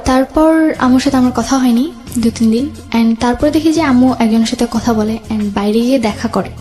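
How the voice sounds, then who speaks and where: Young female guest, Bangladeshi accent, rapid personal storytelling over faint background music. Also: on the high side, warm tone. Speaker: guest telling own life story.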